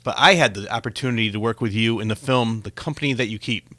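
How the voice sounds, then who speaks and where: A man's voice: on the low side, fast talker, sharp tone, no room sound. Male interview host, dry close sound. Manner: chatty, tells anecdotes with acted-out quotes. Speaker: talk show host and interviewer. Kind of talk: conversational interview.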